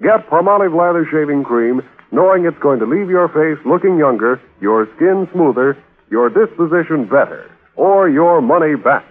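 Old-time radio announcer guy, highish voice, polished hard sell, narrow tinny sound. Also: lively intonation, normal speed. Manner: polished, emphatic salesmanship. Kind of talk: 1940s radio advertising read. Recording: thin, band-limited 1940s radio.

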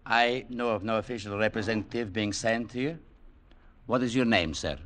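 A man acting a cool, formal gentleman of power in an old radio play. His voice is low, and his speech is clipped, polite and unyielding. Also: normal speed, lightly acted. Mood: cold, official. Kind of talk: 1950s radio drama acting. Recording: vintage radio drama recording.

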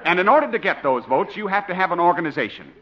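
High-voiced, swooping, pompous boss. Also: male voice, very animated, fast talker. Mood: scornful, boastful. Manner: haughty, sarcastic, precise. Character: pompous, scornful male station boss and political rival. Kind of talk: 1950s radio comedy acting. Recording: muffled 1950 radio recording.

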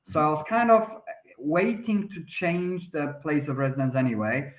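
A man's voice: a little high, moderate pace. A man in his thirties with a Polish accent, a podcast guest answering at length with frequent restarts and fillers. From Poland. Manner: hesitant, many restarts and fillers. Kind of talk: conversational interview answer. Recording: roomy echoey sound.

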